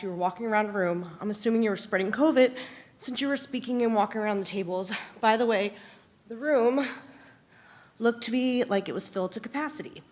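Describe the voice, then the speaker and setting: Woman reading out an angry public comment, accusing and sharp, mid-pitched voice. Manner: accusatory, combative.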